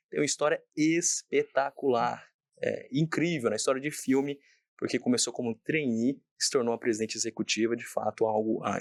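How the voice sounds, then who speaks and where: A man's voice: mid-range voice, no room sound, studio quality. Enthusiastic male podcast host. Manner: enthusiastic, effusive introductions. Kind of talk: interview hosting.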